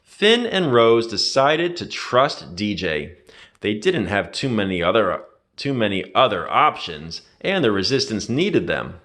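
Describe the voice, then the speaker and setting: A man reading a children's adventure story aloud in an expressive, dramatic storytelling manner. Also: lowish voice, unhurried. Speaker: read-aloud narrator.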